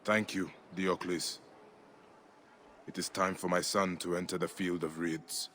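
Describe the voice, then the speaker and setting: An actor playing a grieving warrior who speaks low and grave, set on avenging his son. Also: little pitch movement, unhurried, a little hiss or hum. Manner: low, grave, erupts into anguished shouts. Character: grieving Egyptian warrior, grim and vengeful.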